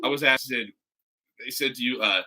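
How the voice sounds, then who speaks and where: A man's voice: mid-range voice, normal speed, a crowd in the background, rough recording. A middle-aged American man hosting a casual livestream, chatting in a rambling way to viewers and a child. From United States. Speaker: host, teacher reading stories to viewers. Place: home, makeshift video corner.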